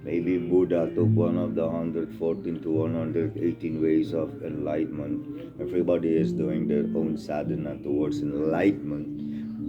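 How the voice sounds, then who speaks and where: Deep-voiced Dutch man musing bluntly. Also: highs cut off. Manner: blunt, emphatic, philosophical. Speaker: spiritual speaker. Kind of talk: rambling spiritual monologue. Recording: loud guitar music over the voice. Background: loud guitar music.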